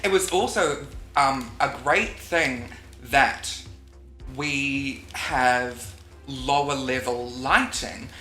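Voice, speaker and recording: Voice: mid-range voice, drawn-out words, pushed and bright, faint music behind. A co-host in a casual review chat, enthusiastic and playful, explaining each verdict. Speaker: co-host of a drag show review. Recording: echoey room.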